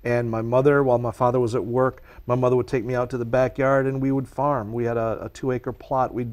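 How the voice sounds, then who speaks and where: Czech-native male guest, mid-pitched, eager, passionate talker on nature. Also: flat delivery, brisk, darkish tone. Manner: earnest and passionate, speaks at length. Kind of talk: conversational interview answers.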